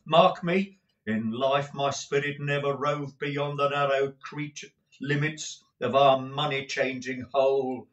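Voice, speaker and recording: A doomed, chain-bound ghost, as a man puts him on, speaks in slow, drawn-out, grave tones. Every word lands heavy and mournful. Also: mid-range voice, a bit bright.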